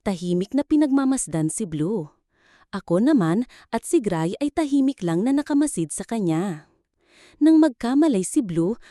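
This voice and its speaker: A woman's voice: mid-range voice, mellow, dry recording, studio quality. A woman narrating a scripted dramatic story in the first person, with an earnest, sentimental delivery.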